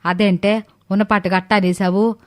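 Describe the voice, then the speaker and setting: A woman playing a gluttonous, sly older mother-in-law in an animated story, with rustic, drawn-out exclamations. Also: mid-range voice, natural voice. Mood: feigned concern. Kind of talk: animated moral story dialogue.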